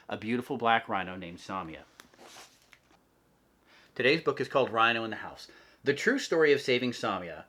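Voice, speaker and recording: A man giving an expressive, clearly phrased read-aloud of a children's storybook. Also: medium pitch. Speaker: volunteer storybook reader for a wildlife nonprofit.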